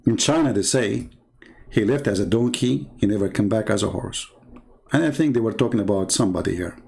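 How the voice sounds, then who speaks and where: A man's voice: fairly low pitch, a little fast, vocal fry, darkish tone. Sarcastic man with an Arabic accent. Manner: mocking, scornful, sarcastic laughs. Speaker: online debater. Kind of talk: combative commentary while reading comments.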